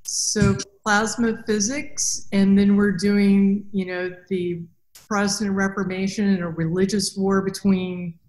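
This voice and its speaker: A woman's voice: medium pitch, little pitch movement, a little slow, darkish tone. A middle-aged woman interviewing conversationally as a podcast host over a video call. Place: indoor room, video call. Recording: roomy, echoing video-call sound.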